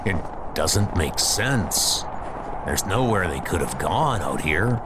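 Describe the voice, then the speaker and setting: A man's voice: deep voice, sing-song, slow, very noisy. A man performing a dramatic comic dub. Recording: loud background music.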